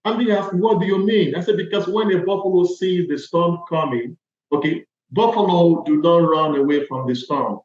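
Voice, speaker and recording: A man's voice: a little high, moderate pace, darkish tone. A man with a Nigerian accent answering interview questions conversationally as a guest, emphatic and checking in as he makes each point. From Lagos, Nigeria. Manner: emphatic, punctuates points with "okay" and "all right". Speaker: podcast guest, agile transformation consultant. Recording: echoey room, slightly dull audio.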